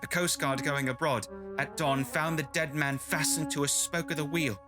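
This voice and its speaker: An actor playing a formal narrator who gives a grim, measured report in a radio drama. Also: male voice, fairly high pitch, steady tone, close to an everyday voice. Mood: grave, ominous. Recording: loud brass music under the voice.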